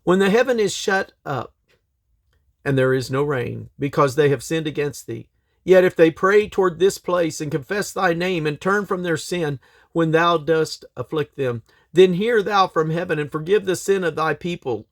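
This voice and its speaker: A man reads aloud from the King James Bible in a solemn, measured, declamatory way, phrase by phrase. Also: a little high, no room sound, clean studio sound. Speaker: scripture reader and host.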